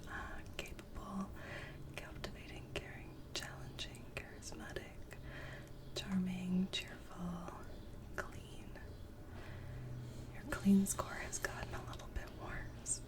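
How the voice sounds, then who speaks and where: A woman whispers softly in a breathy, gentle voice. She plays a telehealth clinician in an ASMR roleplay, murmuring in an unhurried, soothing clinical way. Her voice sits slightly away from the microphone. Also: lowish voice, little pitch movement, recorded from a distance. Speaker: ASMR performer playing a telehealth doctor.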